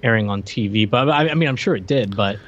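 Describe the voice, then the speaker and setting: A man co-hosting a podcast, chatting in an animated, incredulous way with laughing asides. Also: on the low side, fast talker. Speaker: podcast co-host. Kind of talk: casual chat. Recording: slightly dull lo-fi mic.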